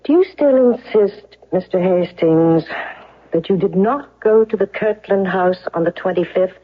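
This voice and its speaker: A woman's voice: mid-range voice, unhurried, close to an everyday voice. A woman playing a commanding, relentless defense lawyer in a 1970s radio courtroom drama, speaking in an emphatic, accusatory way. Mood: confident, pressing. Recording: dull, lo-fi old radio recording.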